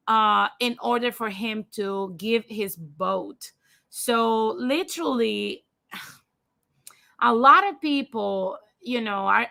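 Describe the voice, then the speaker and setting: Young woman host, Spanish accent, high-ish and gravelly, blunt and profane live commentary, addresses viewers directly. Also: bright, forceful tone. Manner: profane, fired-up, talks straight to viewers. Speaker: livestream host. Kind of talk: blunt opinion commentary, reading news aloud.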